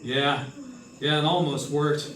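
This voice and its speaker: A man's voice: on the high side, steady tone, slow, fairly bright tone, slight background noise. A man playing a wry, hardboiled investigator, narrating and talking in clipped old-time radio detective style. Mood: brisk, sardonic. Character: hardboiled, wry male insurance investigator narrating his case. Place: live stage performance. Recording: echoey live stage, distant mic, music behind. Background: music.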